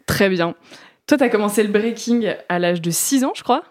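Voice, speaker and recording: A woman's voice: mid-range voice, animated, normal speed, darkish tone. A woman interviewer chatting informally, asking questions and rewording them as she goes along. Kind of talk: casual conversational interview.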